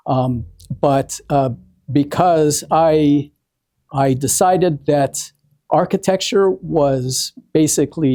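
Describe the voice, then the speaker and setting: Halting older American man, mellow voice. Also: mid-range voice. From Hawaii, United States. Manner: halting, hesitant, repeats and restarts words. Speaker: artist presenting an award ceremony. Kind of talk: informal prepared speech to an audience.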